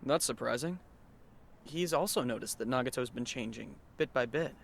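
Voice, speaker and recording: A man's voice: mid-range voice, normal speed, gravelly, a little hiss or hum, natural voice. A man acting a sarcastic, weary teenage schoolboy narrator in an anime fandub, dry and grumbling.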